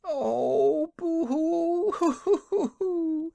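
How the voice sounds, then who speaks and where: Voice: mid-range voice, animated, measured pace, gravelly, a little mellow, no echo, voice pushed up. A reader voicing a panicky, fretful village woman who sobs and wails over imagined disasters. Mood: wailing. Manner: wailing, sobbing, overwrought.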